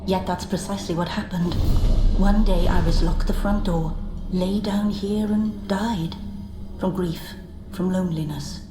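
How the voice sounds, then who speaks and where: A woman playing a solemn, cryptic spirit servant in a video game, speaking in a measured, formal, aloof way. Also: on the low side, steady tone, close to an everyday voice. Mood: grave. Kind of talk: video game character dialogue. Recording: echoing reverb, game music behind.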